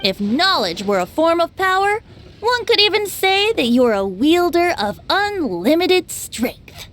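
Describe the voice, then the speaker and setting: High, lilting, youthful boy bard. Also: big pitch swings, a little slow, a bit bright, slight background noise, heavily acted. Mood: admiring, cheerful. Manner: lilting, flowery, polite. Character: youthful, cheerful, flattering male traveling bard. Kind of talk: video game character acting.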